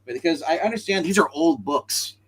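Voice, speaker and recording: A man's voice: fairly high pitch, expressive, a little fast, a little hiss or hum. A man hosting a casual comic book chat show, talking in a chatty, slangy way. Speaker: host of a comics review show.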